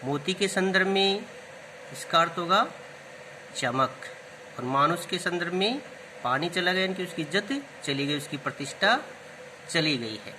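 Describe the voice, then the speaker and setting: A man's voice: sits high, animated, unhurried, pauses often, noisy background. A man teaching a lesson, explaining word meanings step by step and repeating key terms. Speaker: Hindi literature teacher.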